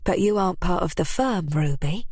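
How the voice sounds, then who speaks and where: A woman reading an audiobook, voicing a calm, controlled woman who asks pointed questions in an even tone. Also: fairly low pitch, moderate pace, no echo, studio quality. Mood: cool.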